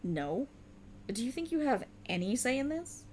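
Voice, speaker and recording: A woman's voice: a little high, very animated, normal speed, darkish tone, slight background noise. A woman voicing a sultry, cruel and mocking otherworldly warlock patron in a theatrical ASMR roleplay, teasing and condescending. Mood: scornful, condescending.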